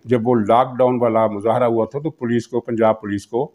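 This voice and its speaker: A man's voice: medium pitch, fairly even intonation, normal speed, a little mellow. A man with a Pakistani accent giving unscripted political commentary, explaining things and repeating phrases for emphasis.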